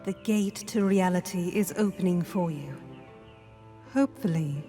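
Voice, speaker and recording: Spirit guide played by a woman: low-pitched, unhurried, calm and mysterious, in deep reverb. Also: lightly acted. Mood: soothing. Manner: soothing, measured, mysterious. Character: calm mystical female spirit guide in a dream. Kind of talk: audio drama acting. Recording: long reverb under music.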